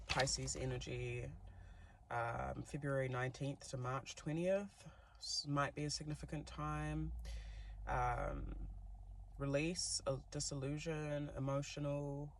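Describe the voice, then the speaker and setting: NZ-accented man, chatty tarot reader, gravelly tone, faint background noise. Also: medium pitch. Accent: New Zealand. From New Zealand. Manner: chatty and giggly, many fillers. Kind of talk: casual tarot reading to camera.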